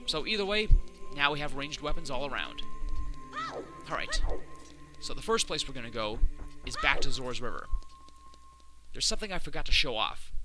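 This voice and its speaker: A young man with a slightly hoarse voice from a cold, giving chatty, sarcastic commentary while playing a video game. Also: mid-range voice. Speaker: let's play commentator. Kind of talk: casual gameplay commentary. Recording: loud game music under voice.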